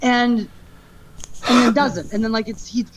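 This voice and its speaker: A woman streamer and stand-up comic ranting in a rambling, petty and animated way. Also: on the high side, slow pace, crowd noise. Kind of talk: clip of a livestream rant. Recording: muffled clip with faint noise.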